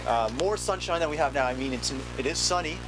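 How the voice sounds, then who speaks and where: A man doing relaxed, joking play-by-play commentary at an outdoor game, with plenty of fillers. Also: fairly high pitch, fast. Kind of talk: casual sports commentary. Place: outdoor sideline of a rainy field. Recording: noisy outdoor recording.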